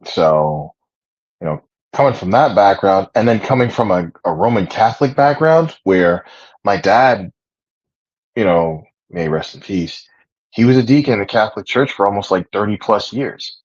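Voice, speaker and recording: A man with a fairly low voice talks casually and rambles, full of fillers and restarts. The recording is dry and a little dull, with no room sound. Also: expressive, moderate pace, no echo. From Puerto Rican heritage, raised speaking English. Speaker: podcast host. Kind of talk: casual conversation.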